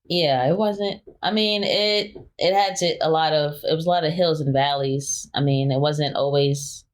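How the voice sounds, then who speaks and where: Young woman guest, mid-twenties, soft-spoken and halting, candid interview. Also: low voice, fairly even intonation. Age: 20s. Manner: soft-spoken, many fillers, hesitant.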